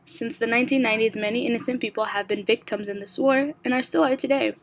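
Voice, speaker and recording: A young woman from Somalia raised in the United States, with an American accent, reading her own story in a reflective, even voice with ironic asides, soft and partly whispered. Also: a little high, fast. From born in Somalia, raised in the United States. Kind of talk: read personal narration.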